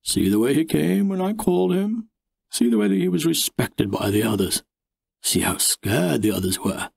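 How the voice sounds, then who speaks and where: A man voicing a boastful, blustery father with a booming voice in an audiobook story. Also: very animated, normal speed, creaky, darkish tone, breathy edge, dry recording, exaggerated voice.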